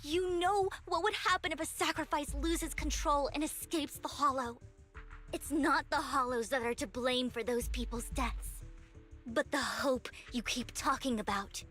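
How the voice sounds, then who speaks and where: A young anime game heroine with a high voice. She sounds earnest and resolute, firm yet pleading. Soft music runs behind her. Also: unhurried. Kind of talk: dramatic anime video game voice acting.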